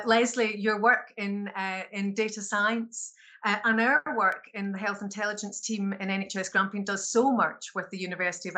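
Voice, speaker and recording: A middle-aged woman speaking on a panel about her health intelligence work, pausing often with filler sounds and restarts. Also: mid-range voice, bright, forceful tone. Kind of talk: introducing herself on a panel.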